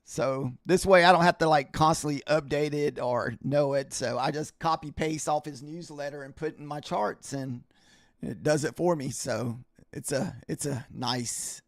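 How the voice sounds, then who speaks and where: A man's voice: fairly high pitch, moderate pace, no room sound, studio quality. A man hosting a live trading stream, chatting casually with viewers in a rambling way full of fillers and restarts, his voice a bit scratchy from a week of talking. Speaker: livestream trading host.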